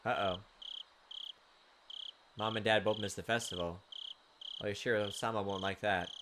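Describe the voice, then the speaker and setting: A man's voice: fairly low pitch, steady tone, moderate pace, noisy background. A man reading game text aloud as a let's play host, adding informal, playful commentary. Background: game music.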